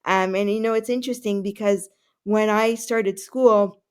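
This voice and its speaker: A woman of middle pitch whose first language is Russian, talking in an earnest, searching way. She pauses often on fillers and corrects herself mid-sentence as she answers a podcast host. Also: fairly even intonation, clear, resonant tone. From Canada.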